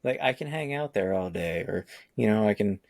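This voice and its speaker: A man in his forties with a low, gravelly voice, talking casually on a podcast. He rambles through long thoughts, circling back and restarting as he goes. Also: expressive, moderate pace, a little mellow. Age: 40s. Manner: rambling, restarts often, laughs easily. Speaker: podcast co-host. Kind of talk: casual chat.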